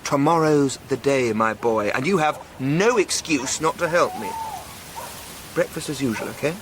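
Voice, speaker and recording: Eager English digger, lightish high pitch, old-boy chumminess, radio drama scene with noticeable background noise. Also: animated, moderate pace, a bit bright. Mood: wistful, cheerful. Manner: chummy, old-fashioned, enthusiastic. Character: chummy, eager English amateur archaeologist, jovial and teasing. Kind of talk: naturalistic radio drama acting.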